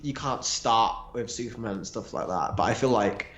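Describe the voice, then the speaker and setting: A man's voice: lowish voice, normal speed, fairly bright tone, soft background music. Rambling man chatting in an echoey room. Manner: rambling, restarting sentences. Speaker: livestream co-host. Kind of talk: casual livestream chat.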